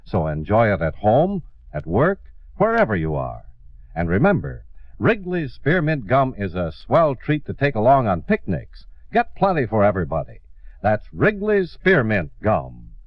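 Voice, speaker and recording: A man's voice: fairly low pitch, wildly swooping pitch, a little slow, no echo. A man working as a radio announcer, reading a scripted advertisement in a 1950s style. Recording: muffled old radio recording.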